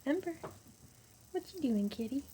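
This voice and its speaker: A woman's voice: high-pitched, animated, moderate pace, background noise. A woman hosting a casual craft livestream, chatty and laughing often.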